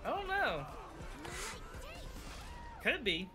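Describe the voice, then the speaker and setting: A man with a high, very lively voice chats casually as a co-commentator. He sounds amused and giggly, with a bright tone and faint music behind him. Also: drawn-out words. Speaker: co-commentator in a reaction video. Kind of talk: casual chat.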